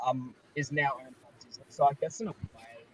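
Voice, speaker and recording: A man's voice: mid-range voice, steady tone, fairly quick, darkish tone, slightly rough, rough recording. A man hosting a casual online group chat about a project he directs. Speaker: project director and panel host.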